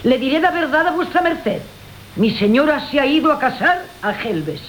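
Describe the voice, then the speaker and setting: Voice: sits high, very animated, normal speed, a bit bright, poor audio. A performer playing a sly, mock-deferential, gossipy servant in a classical radio comedy. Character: sly, gossipy, opportunistic household servant Álvarez. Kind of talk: Spanish classical comic radio theatre acting. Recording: hissy 1980 radio broadcast.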